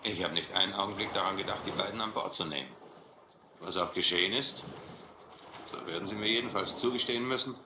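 A man playing a deep, sardonic sea captain. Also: fast, close to an everyday voice. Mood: mocking. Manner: sardonic, condescending, commanding. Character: domineering sea captain, mocking, brutal, intellectual bully. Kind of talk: German audio adventure drama acting. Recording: roomy old radio-play recording, faint noise.

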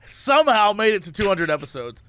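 A man co-hosting a casual podcast, hoarse from losing his voice, joking around and breaking into silly sung bits. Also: high-pitched, sing-song, fairly quick, a crowd in the background. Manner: goofy, sings and shouts bits, jokey asides. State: hoarse, lost voice. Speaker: podcast co-host.

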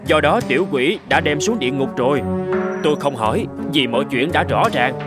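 A man's voice: on the high side, brisk, loud music behind the voice, lightly acted. A man voicing a proud, dutiful angel gatekeeper in a fairy-tale cartoon, formal and self-assured. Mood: indignant. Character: proud, dutiful winged male angel guarding heaven's gate.

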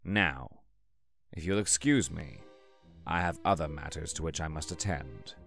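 A deep-voiced, sly trickster assistant. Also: male voice, unhurried, gravelly, a bit bright, faint music behind, full character voice. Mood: bland, formal. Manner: formal, precise, slyly mocking. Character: bland, controlled male assistant hiding a mischievous trickster god. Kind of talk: audio drama acting.

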